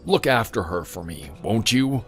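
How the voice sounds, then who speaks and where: A man's voice: lowish voice, sing-song, moderate pace, fairly bright tone, soft background music. A man playing a weary, bitter old father on his deathbed in a drama, speaking plainly and with resignation. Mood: weary, resigned. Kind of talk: dramatic dialogue.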